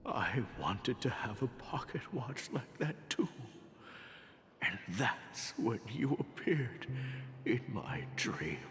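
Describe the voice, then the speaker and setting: Wistful old man in a game, weary and halting, voice swells and dips, big reverb. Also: mid-range voice, very animated, fairly bright tone, a little hiss or hum. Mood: wistful, nostalgic. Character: weary, wistful aged male traveler reminiscing. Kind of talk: dramatic video game voice acting. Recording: long reverb with music behind.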